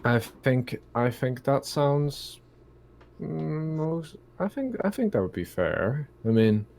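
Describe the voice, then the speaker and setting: A man playing a tabletop roleplaying game, chatting casually in a halting way and often repeating himself. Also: medium pitch, very animated, vocal fry, darkish tone.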